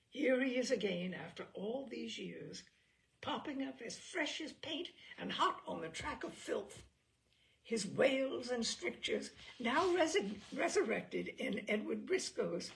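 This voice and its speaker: A woman reads a comic memoir aloud with a wry, amused delivery. Her voice has a strong gravelly fry, and her pitch rises and falls in lively swoops. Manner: wry, playful, amused delivery with occasional slips and laughs. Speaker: reader of humorous essays. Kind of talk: expressive reading of a comic memoir.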